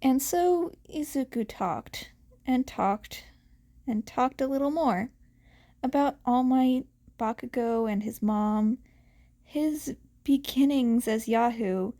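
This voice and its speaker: A woman with a very high voice narrates a story in an expressive audiobook style. She takes her time, reading at a slow pace. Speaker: podfic reader.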